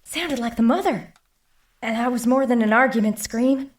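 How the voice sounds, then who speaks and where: A fairly high voice with a dry studio sound, for a level-headed amateur detective's partner played by a woman. She is helpful and conciliatory in a radio comedy. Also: moderate pace, no room sound, close to an everyday voice. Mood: eager. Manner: reasonable, conciliatory. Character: sensible, helpful female sidekick sleuth, quick to smooth things. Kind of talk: comic audio drama acting.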